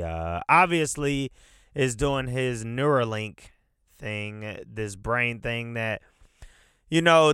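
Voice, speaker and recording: A man's voice: medium pitch, sharp tone, clean tone, no room sound, clean studio sound. A man hosting a casual solo podcast, rambling loosely and cursing often, with a stuffy nose. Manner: loose, profane, rambling with fillers. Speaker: podcast host.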